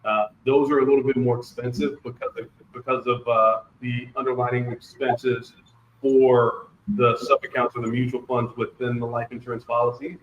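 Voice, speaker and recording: Halting man in a roomy, muffled recording. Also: medium pitch, flat delivery. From Liberia, grew up in Ohio. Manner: halting, often repeating words. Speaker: guest, financial services wholesaler. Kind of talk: conversational interview answers. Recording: roomy, muffled, noisy sound.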